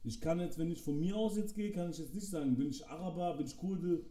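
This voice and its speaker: A young man co-hosting a casual podcast chat, talking in slangy, relaxed street speech and joking around. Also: a little high, fast talker, recorded from a distance. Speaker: podcast co-host. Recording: echoey room, set back from the mic.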